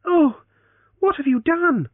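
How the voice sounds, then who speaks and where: Brief: fiery young heiress, high and sharp, a male reader doing her. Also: very animated, moderate pace, no echo. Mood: pleading. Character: proud spirited young heiress, quick to anger. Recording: tinny phone-band audio.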